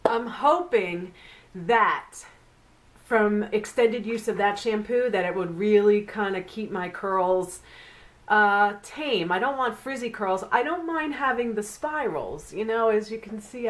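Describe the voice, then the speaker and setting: A woman's voice: mid-range voice, unhurried. A middle-aged woman who reviews beauty products, chatty and rambling, sounding nasal and stuffed up from the flu. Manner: chatty and rambling, with frequent fillers. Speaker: beauty vlogger. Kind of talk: casual product review vlog.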